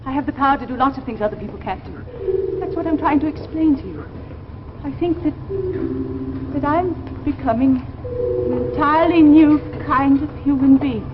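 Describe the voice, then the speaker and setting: An actress playing an earnest, troubled wife with a secret, speaking haltingly in 1950s radio drama style. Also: female voice, sits high, close to an everyday voice. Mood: urgent, intense. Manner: earnest, halting, repeats phrases for emphasis. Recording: dull, noisy vintage radio recording.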